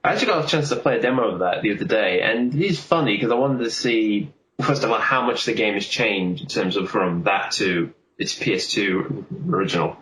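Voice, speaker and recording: Easygoing guy, kinda low voice, casual chat, roomy echo and some noise. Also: fairly quick, few pauses, a bit bright. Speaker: podcast co-host.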